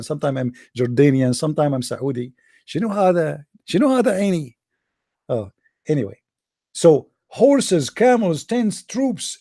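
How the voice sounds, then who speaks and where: Man, sarcastic debater, high voice, dry close sound, mocking asides. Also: dark tone, dry recording. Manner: sarcastic, joking, mock-serious. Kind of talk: live online debate, reading and mocking commentary.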